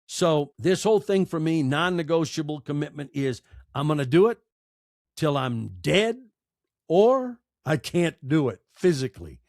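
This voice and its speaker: A man in his sixties with an American accent, giving reflective, earnest interview answers in short, emphatic, deliberate phrases. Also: fairly high pitch, sing-song, darkish tone, no room sound, clean studio sound. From United States. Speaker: podcaster and storyteller, interview guest.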